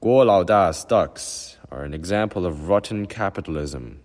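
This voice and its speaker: A man's voice: low voice, very animated, moderate pace, long reverb tail, pitched above the performer's own voice. A man voicing a pompous, officious male brigade leader who bellows his announcements.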